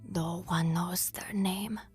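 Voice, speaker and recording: A woman voicing an eerie old woman who guards cursed portals, speaking in a slow, hushed, raspy whisper. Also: lowish voice, fairly even intonation, soft background music. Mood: ominous.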